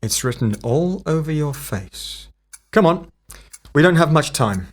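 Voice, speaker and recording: A man acting in an audio drama as a terse, analytical investigator of time fractures, with a clipped, deliberate delivery. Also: fairly high pitch, lively intonation. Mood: terse, insistent.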